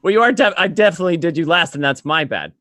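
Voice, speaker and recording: A man's voice: fairly high pitch, lively intonation, fast talker, fairly bright tone, dry recording, studio quality. A man hosting a casual panel interview in an enthusiastic, joking manner. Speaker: show host.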